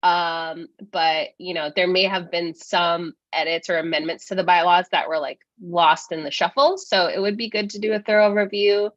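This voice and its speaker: A congested woman getting over a cold, explaining procedure at a student government meeting in a measured way with many fillers. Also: on the low side, fairly even intonation, fairly bright tone, no echo, highs cut off.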